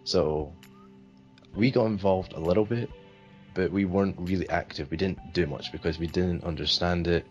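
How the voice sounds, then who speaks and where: A man's voice: low voice, moderate pace, darkish tone, muffled recording, faint music behind. A man from Sweden answering interview questions in a hesitant, rambling way, full of fillers. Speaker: interview guest.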